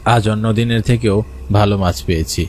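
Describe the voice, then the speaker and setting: A man's voice: fairly low pitch, a little fast, a little mellow, a little hiss or hum, lightly acted. A man playing a frightened village fisherman, narrating a cartoon horror story in the first person with suspense and anxious urgency. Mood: uneasy storytelling.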